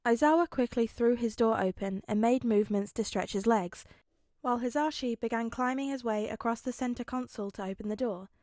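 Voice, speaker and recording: A woman's voice: on the high side, a little fast, a little mellow, no echo. A woman reading a scripted story aloud as an audiobook narrator. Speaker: audiobook narrator of fan fiction.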